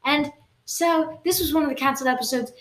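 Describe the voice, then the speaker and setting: A woman's voice: sits high, fairly even intonation, brisk, a bit bright. A woman co-hosting an improvised comedy podcast, playful and giggly as she spins absurd tall tales. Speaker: podcast co-host.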